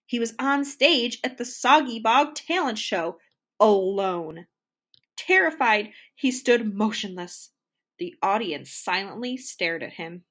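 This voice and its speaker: Expressive, lilting woman reading a children's story. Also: fairly high pitch. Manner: expressive, deliberate. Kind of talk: scripted children's storybook narration.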